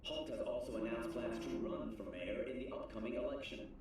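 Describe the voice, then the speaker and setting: An actor playing a composed, sombre television news anchor in a video game, reading a formal scripted bulletin. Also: male voice, mid-range voice, fairly quick, slight background noise, natural voice. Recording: distant, very echoey, loud music behind.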